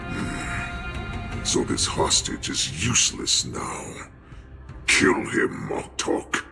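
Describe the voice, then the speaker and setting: A monstrous wolf warlord from a video game, savage and ancient. The voice is extremely deep and drags slowly, then swings wildly as it savors each bloodthirsty word. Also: male voice, very animated, full character voice. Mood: cold menace. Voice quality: gravelly, breathy growl. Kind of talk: video game cutscene acting. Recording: music behind the voice.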